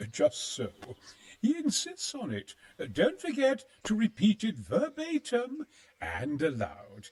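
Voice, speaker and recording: An actor playing a crafty, conspiratorial schemer in a video game cutscene, with a sly, hedging, expressive manner. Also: male voice, high-pitched, slow pace, full character voice. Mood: secretive, urgent. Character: crafty, conspiratorial male schemer sheltering in a monastery.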